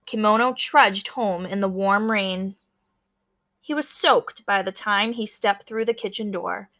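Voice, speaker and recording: A woman with a bright voice at a middling pitch, speaking as an elementary school teacher. She reads a storybook aloud and steps out of the text to explain it. Her manner is instructive, often inviting young listeners to notice details and wonder about them. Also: measured pace. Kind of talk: read-aloud lesson for fourth graders, explaining as she goes.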